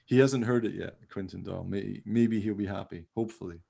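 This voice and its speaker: A man with a fairly low voice talks casually as a podcast co-host. He rambles and pokes fun at himself, keeping things informal. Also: fairly even intonation, brisk, vocal fry, dark tone. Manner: rambling, self-deprecating, jokey. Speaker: podcast co-host, radio play writer. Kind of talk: casual behind-the-scenes chat.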